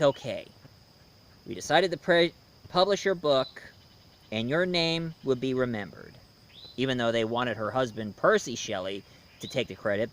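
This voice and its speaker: A man giving a casual, conversational review commentary with history asides, speaking informally and haltingly as he goes. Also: mid-range voice, a bit bright. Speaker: video reviewer.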